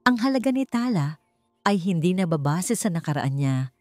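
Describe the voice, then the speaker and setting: A woman's voice: fairly low pitch, big pitch swings, normal speed, darkish tone, dry recording, soft background music. A woman voicing a firm, intense, protective young man in a romance story. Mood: firm, angry. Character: stern, protective wealthy young man in love.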